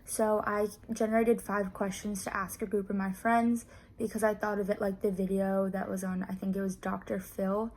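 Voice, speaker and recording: A woman's voice: medium pitch, level tone, moderate pace, darkish tone, low-quality recording. A nineteen-year-old woman casually explaining a class project, with lots of filler words. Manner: rambling, heavy use of "like" fillers. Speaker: student presenting a class project.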